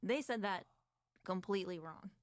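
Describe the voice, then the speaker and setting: A woman's voice: medium pitch, normal speed, dry recording, studio quality. A woman co-hosting an advocacy talk show, chatting casually and reading documents aloud with commentary. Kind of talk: casual discussion.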